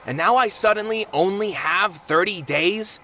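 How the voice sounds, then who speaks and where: An actor playing a gruff, emphatic male theatre manager in a video game. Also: high voice, normal speed, pushed and bright, a little hiss or hum. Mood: exasperated. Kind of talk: game voice acting.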